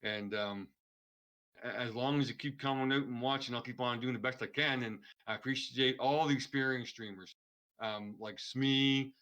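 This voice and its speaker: A man's voice: mid-range voice, steady tone, moderate pace, fairly bright tone. A man guesting on a podcast, talking earnestly and rambling in casual chat. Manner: earnest, rambling, restarts a lot. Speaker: streamer guest.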